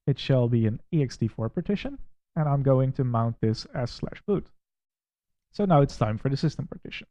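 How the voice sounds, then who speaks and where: A man from the Netherlands with a Dutch accent, walking through a software installer step by step and critiquing it in a plain, methodical way. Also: mid-range voice, animated, normal speed, no echo, lo-fi sound. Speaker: tech reviewer. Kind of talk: screen-recorded software walkthrough.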